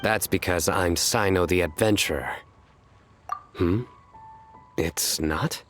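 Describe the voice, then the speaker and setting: An actor playing a solemn young male adventurer in a video game, delivering dry jokes in a formal, deadpan way. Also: sits low, slow. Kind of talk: video game character acting. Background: clear game music.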